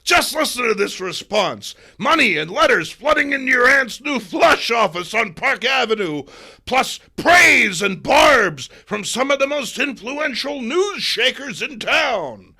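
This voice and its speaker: A man's voice: very high-pitched, sing-song, a little slow, bright, forceful tone, husky, voice pushed up, heavily acted. A man playing an irascible, bossy newspaper boss who bellows in exasperation, in a comic book reading. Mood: excited bluster.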